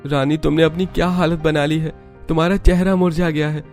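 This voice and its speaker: A man's voice: fairly high pitch, flat delivery, a little fast, darkish tone, soft background music, lightly acted. A man voicing a caring, apologetic young Indian husband in a Hindi cartoon story. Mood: regretful.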